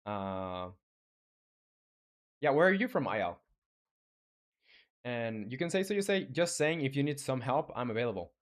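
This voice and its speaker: A man's voice: medium pitch, very animated. Chilean man chatting on a dev stream. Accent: Chilean Spanish. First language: Spanish. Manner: halting, many restarts and fillers. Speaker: game developer streamer. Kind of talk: casual live-stream chat reading viewer comments.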